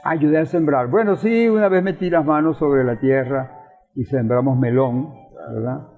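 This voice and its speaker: A man's voice: fairly high pitch, normal speed. An older man with a Venezuelan accent, explaining and reminiscing in a conversational interview, emphatic and given to repeating himself. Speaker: interview guest, professor and politician. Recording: roomy reverb, thin phone-like sound, faint music.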